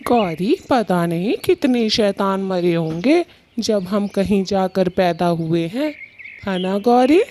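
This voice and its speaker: A woman voicing a sly, boastful male bird thief, an agreeable husband in a children's cartoon, talking slowly and smugly. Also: medium pitch, lively intonation, mellow. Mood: smug, pleased. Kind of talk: Hindi children's cartoon dialogue.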